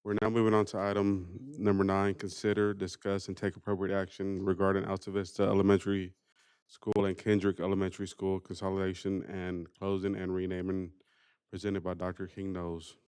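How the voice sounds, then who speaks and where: A man chairing a school board meeting, running through the agenda with a formal, steady roll-call cadence. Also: deep voice, a little fast, clean tone.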